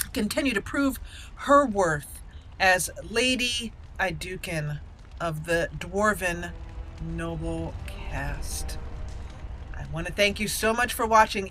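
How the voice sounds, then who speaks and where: Bright-voiced woman gaming host. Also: mid-range voice, low-quality recording. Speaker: let's play host. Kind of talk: gameplay commentary and roleplay narration.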